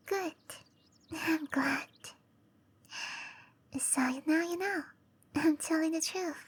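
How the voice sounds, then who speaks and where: Woman playing a high, whispery, gentle dryad girl. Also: exaggerated voice. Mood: gentle, earnest. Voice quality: soft, breathy, often whispering. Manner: slow, tender, hesitant delivery. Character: gentle, shy cherry blossom dryad woman. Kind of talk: whispery roleplay ASMR.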